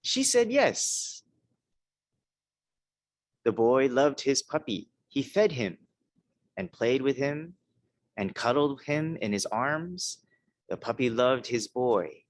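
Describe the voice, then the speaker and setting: A hoarse man, a minister, giving a conversational talk and then reading a children's story, often checking in with his listeners. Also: mid-range voice, very animated, slow pace, pauses often. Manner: conversational, keeps checking in with "okay?" and "right?".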